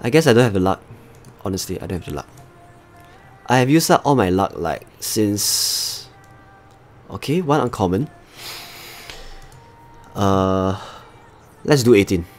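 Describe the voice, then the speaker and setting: A man with a Singaporean accent and a fairly low voice hosts a live gaming stream. He chats casually with his viewers while he plays, with faint music behind him. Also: expressive, measured pace, pauses often. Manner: chatty, talks to viewers as he plays. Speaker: livestream host.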